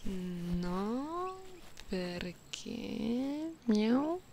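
A woman playing a gentle, playful cat-girl in an ASMR roleplay, speaking softly and intimately, often slipping into whispers. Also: on the high side, sing-song, full character voice. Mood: playful, teasing. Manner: slow, soothing, intimate, teasing, with meows and purry hums. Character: gentle, playful genetically modified cat-girl comforting someone lonely. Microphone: close binaural microphone. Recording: close binaural recording with faint background noise.

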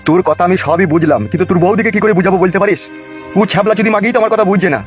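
A man's voice: high voice, racing, muffled recording, faint music behind, natural voice. A man voicing a crude, blustering small-town politician in a cartoon, speaking coarsely. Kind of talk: cartoon voice acting.

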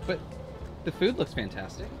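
A man's voice: a little high, very animated, moderate pace. Teasing man co-hosting over music. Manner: teasing, instructing. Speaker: off-camera co-host. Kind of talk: casual teasing banter. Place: outdoor patio kitchen, behind camera. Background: music, food sizzling.

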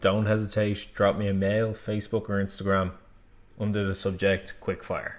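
A man's voice: lowish voice, completely flat delivery, normal speed. A young man in his twenties hosting a casual vlog indoors, chatty and enthusiastic. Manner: chatty, enthusiastic, laughs at own asides. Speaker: channel host. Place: indoor room, seated at a table.

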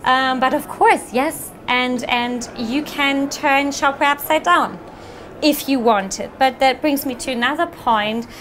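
A woman in her thirties presenting software at a meetup, answering audience questions in a friendly, fluent way with frequent ums. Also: high voice, measured pace, slight background noise.

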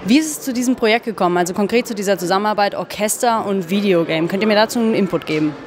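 A woman interviewing festival guests, asking questions in a brisk, friendly way. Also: mid-range voice, a crowd in the background. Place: indoor room at a festival. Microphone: handheld mic.

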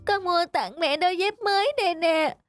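Very high-pitched, earnest little schoolgirl. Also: moderate pace. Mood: earnest, hesitant. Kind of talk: children's cartoon dub. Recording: music behind the voice.

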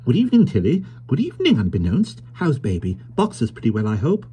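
A man's voice: mid-range voice, huge pitch swings, quick pace, mellow, slight background noise, pitched above the performer's own voice. A man voicing a little, meager, polite workman with a meek voice.

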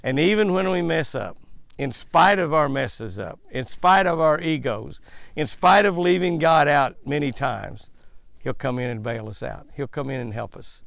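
A man's voice: a little high, expressive, normal speed, gravelly, a bit bright, dry recording. An older man hosts a daily devotional with a fishing tip, preaching in a folksy, emphatic way and repeating his points, recorded outdoors by a lake on a headset mic. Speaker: fishing show host.

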